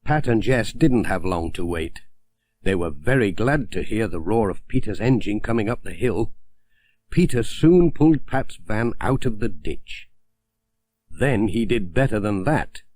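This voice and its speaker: A man narrating a children's story as an audiobook reader, in a gentle, expressive storytelling manner. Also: lowish voice, measured pace.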